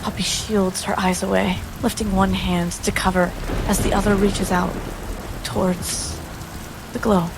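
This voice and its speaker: A woman playing a tabletop game, narrating her character's actions in a measured, dramatic way. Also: mid-range voice, little pitch movement, background noise.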